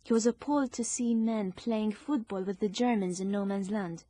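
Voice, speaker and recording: A woman's voice: medium pitch, fairly even intonation, moderate pace, dark tone, no room sound, close to an everyday voice. A woman playing a prim, caring young British army nurse in a period radio drama. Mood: proper, earnest.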